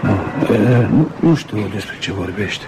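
An actor playing a brooding, cynical scientist in a Romanian radio drama, speaking bluntly and dismissively. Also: male voice, lowish voice, animated, close to an everyday voice. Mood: irritated. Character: brooding, cynical male biologist, hard-drinking and rational. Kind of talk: Romanian radio theatre acting. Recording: noisy old radio drama recording.

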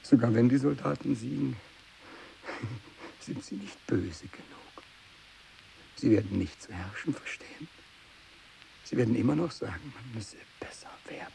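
Casting: fanatical, parched desert priest, slow and gravelly, anguished, 1960s radio drama with faint hiss. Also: male voice, mid-range voice, expressive, dark tone, poor audio. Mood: parched, brooding. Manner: declamatory, anguished, swinging between cries and whispers. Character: fanatical, tormented renegade priest, parched and raving.

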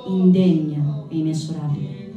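A woman's voice: low-pitched, fairly even intonation, normal speed, dull audio, pitched below the performer's own voice, natural voice. Echoey, desperate young mother pleading , voiced by an actress. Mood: anguished pleading. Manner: loud, emphatic, declamatory delivery. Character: desperate, defiant young mother writing a public plea. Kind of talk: theatrical monologue, read-out letter. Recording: echoey stage, distant mic, music.

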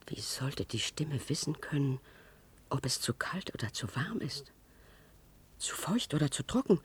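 An actress playing an anxious, dutiful cellar worker in a science-fiction radio play, pleading and moving between fervent and hushed delivery. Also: female voice, very deep voice, expressive, measured pace, slightly rough, in a whisper, full character voice. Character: anxious, dutiful female cellar worker, clinging to comforting beliefs.

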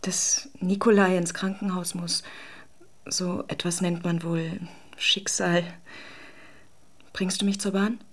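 A woman's voice: on the low side, level tone, takes it slowly, long pauses. An actress playing an emotional, effusive married Russian woman in a German radio drama. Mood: subdued, resigned. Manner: theatrical, emotionally volatile, effusive.

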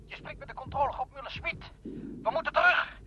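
An actor playing an urgent wartime soldier reporting by field telephone in a 1960s radio play. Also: male voice, very high voice, a little fast. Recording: noisy, muffled old radio recording.

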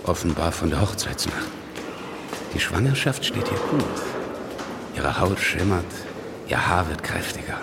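An actor playing a blunt, worldly lawyer in his late twenties, speaking in a measured, dry way in a radio drama. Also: sits low, big pitch swings, creaky, very noisy, heavily acted. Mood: confiding, musing.

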